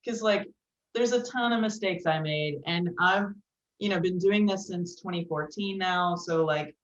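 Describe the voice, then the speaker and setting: A woman's voice: on the low side, unhurried. Casual female interviewer in an echoey room. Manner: informal, encouraging, frequent "like". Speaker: interviewer, real estate investor. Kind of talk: casual video interview chat. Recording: echoey, dull lo-fi call audio.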